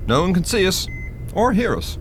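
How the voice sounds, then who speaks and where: Wry, lively Irish spirit. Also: male voice, high-pitched, moderate pace, a little hiss or hum. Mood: wry, matter-of-fact. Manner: droll, matter-of-fact. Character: wry, unhurried male spirit guiding the newly dead. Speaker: radio drama actor. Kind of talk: radio play acting.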